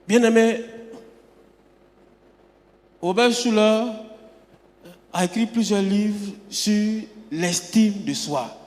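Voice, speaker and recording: Male preacher, high-pitched and emphatic, restarts phrases mid-sentence; recorded with a long hall echo. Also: drawn-out words, pauses often, a little mellow. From Côte d'Ivoire. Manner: emphatic, repeats words and restarts often. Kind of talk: sermon. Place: church sanctuary.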